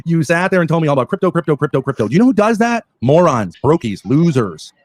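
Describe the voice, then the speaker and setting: A man's voice: fairly high pitch, sing-song, fast, a little mellow. A man in a heated online panel argument, blunt and sarcastic, sounding through a remote call mic. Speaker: online commentator in a panel debate.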